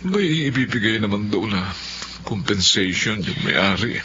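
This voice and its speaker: A man acting in a radio drama as a downcast middle-aged Filipino father who speaks haltingly. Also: fairly low pitch, lively intonation. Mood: troubled. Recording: dull lo-fi audio with background noise.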